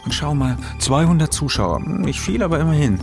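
A man's voice: fairly high pitch, very animated, fairly quick, gravelly. An actor playing a middle-aged male journalist in an audio drama, determined and accusing, with a controlled, dry delivery. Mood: dry, triumphant. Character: determined, accusing male journalist, wounded but quietly triumphant. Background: music.

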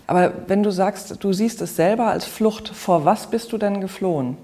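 An interviewer speaking in an articulate, measured way, as in an arts feature. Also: medium pitch, dark tone. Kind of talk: arts interview with scripted introduction.

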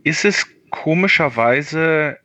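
A man's voice: a little high, expressive, slow pace, sharp tone, no echo, muffled recording. A man in his thirties from Berlin, a podcast guest on a video call, talking hesitantly with many fillers. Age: 30s. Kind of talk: casual interview.

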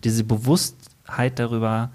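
A man's voice: mid-range voice, little pitch movement, measured pace, darkish tone. A man in a casual podcast conversation, speaking hesitantly and searching for words with many fillers.

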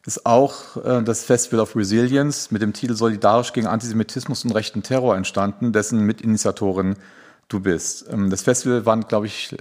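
Brief: male podcast host, lowish voice, hesitant, lots of "äh" between phrases. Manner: hesitant, many fillers while introducing guests. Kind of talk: podcast interview.

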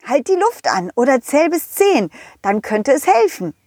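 A woman's voice: sits high, big pitch swings, a little slow, a little mellow, dry recording, clean studio sound. A woman voicing a confident, loyal dog in a children's audio play, encouraging and reassuring, always offering a solution. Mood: patient, encouraging. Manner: encouraging, reassuring problem-solver.

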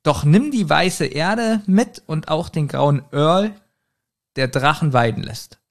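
A man's voice: on the high side, expressive, vocal fry. Amused man retelling a story. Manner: amused, reads out clues haltingly. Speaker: podcast co-host. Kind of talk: casual chat retelling a kids' audio drama.

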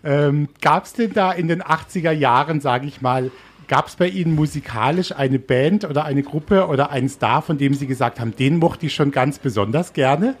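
Husky higher-pitched male interviewer. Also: moderate pace. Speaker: interviewer, host. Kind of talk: casual radio interview.

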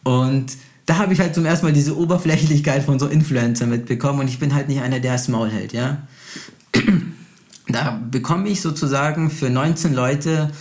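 A man's voice: medium pitch, fairly even intonation, moderate pace, darkish tone. A young man with a Brazilian background chats casually, telling anecdotes in a slangy, boastful, unfiltered way. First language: Portuguese. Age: young adult.

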